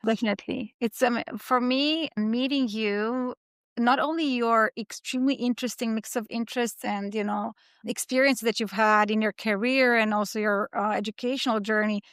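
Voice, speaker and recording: A woman host from western Romania with a Romanian accent, measured and a little hesitant as she interviews a guest. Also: fairly high pitch, steady tone, fairly bright tone, clean tone, no room sound, clean studio sound. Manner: measured, with hesitant ums and restarts. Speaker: podcast host. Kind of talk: interview podcast.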